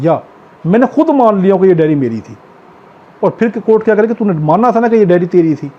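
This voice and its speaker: A man's voice: sits high, dark tone, muffled recording, a little hiss or hum. A man with a Pakistani accent giving an exam-prep lecture as a teacher, posing questions and answering them himself and repeating points for emphasis. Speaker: law lecturer.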